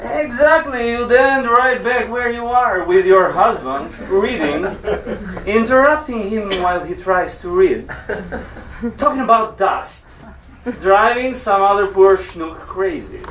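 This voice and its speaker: A man's voice: high voice, expressive, measured pace, fairly bright tone, a little hiss or hum. Man acting jaded husband, resigned, dismissive, stage dialogue, roomy distant recording. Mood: resigned, irritated. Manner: cynical, resigned. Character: jaded, dismissive husband bickering with his wife. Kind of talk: naturalistic stage scene.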